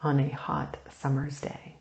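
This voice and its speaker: Slow, low-voiced woman guiding meditation. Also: monotone, vocal fry, echoey room. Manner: soft, soothing, unhurried instruction with long pauses. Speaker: meditation teacher. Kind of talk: guided meditation.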